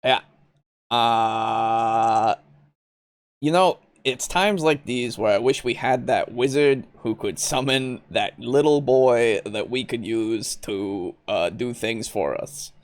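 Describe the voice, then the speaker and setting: A man playing a tabletop roleplaying game, chatting casually and haltingly as he thinks aloud. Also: mid-range voice. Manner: halting, thinking aloud while checking things. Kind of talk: casual game-session chat.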